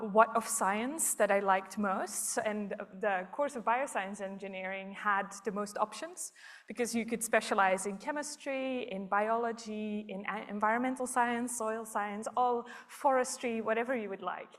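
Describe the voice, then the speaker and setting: Woman from belgium giving a science talk, kinda high voice, lots of ums. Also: normal speed, a bit bright. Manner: explanatory, with frequent ums.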